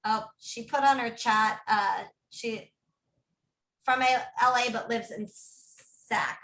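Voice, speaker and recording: A woman co-hosting a ground school meeting on a video call, speaking in a quick, upbeat way and laughing easily. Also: on the high side, steady tone, fairly bright tone, roomy sound, poor audio. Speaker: meeting co-host.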